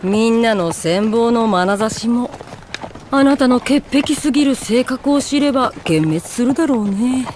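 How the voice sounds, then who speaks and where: Casting: wry female soldier, anime game, teasing, fairly high, noisy ambience. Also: animated, measured pace. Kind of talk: Japanese anime game voice acting.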